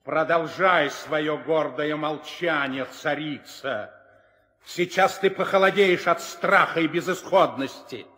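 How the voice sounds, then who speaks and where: A cruel demon king for an old radio play. The voice is high and forceful, declaiming slowly with imperious, gloating menace, in long reverb. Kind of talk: theatrical 1960s audio drama acting. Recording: reverberant studio drama recording with music.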